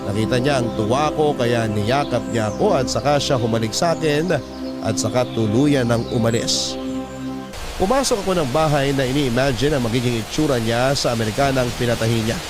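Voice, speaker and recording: Male drama narrator: medium pitch, solemn, sentimental, scripted storytelling over prominent music. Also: brisk. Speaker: storyteller host reading a listener's letter. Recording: loud music bed behind voice.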